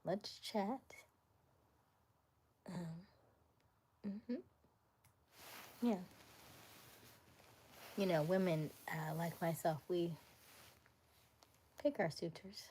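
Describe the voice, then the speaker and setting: A woman hosting a casual live stream, chatting playfully with viewers, laughing often and dropping to a soft whisper as she reads comments. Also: fairly low pitch, drawn-out words, long pauses, slight background noise. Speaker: livestream host.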